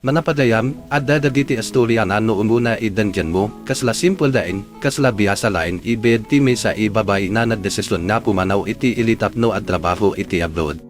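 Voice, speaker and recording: A man's voice: fairly low pitch, brisk, few pauses, music in the background. A man with an Ilocano Filipino accent hosting and narrating a sentimental radio drama in an earnest, emphatic way.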